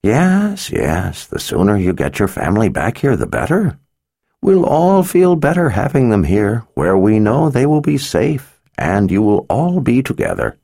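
A kindly old male wizard from a storybook, soft and grandfatherly, with a voice that lifts and drops warmly as a man puts him on. He sounds low at heart and close to the ear, with no room around him. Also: sing-song, unhurried, gravelly, dry recording, heavily acted. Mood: reassuring. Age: over 60.

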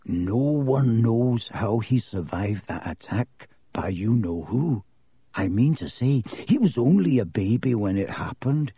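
A man's voice: a little high, very animated, unhurried, husky, no echo, exaggerated voice. A man voicing a pompous, fearful, suspicious schoolboy in a storybook, with a stubborn, mysterious manner. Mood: hushed, mysterious. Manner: hushed, mysterious to quavering and stubborn.

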